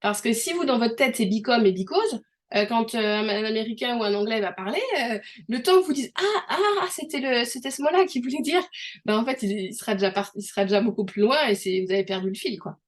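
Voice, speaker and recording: A middle-aged woman from France, talking casually with a somewhat high voice. She is chatty and explains things through everyday examples, often restarting her sentences. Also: lively intonation, fast talker. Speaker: host. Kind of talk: casual conversational interview.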